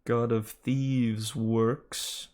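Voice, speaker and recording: A reader voicing a sarcastic, bitter male snake with a raspy voice in a storybook reading. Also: medium pitch, level tone, drawn-out words, a little mellow. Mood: grumbling, sarcastic.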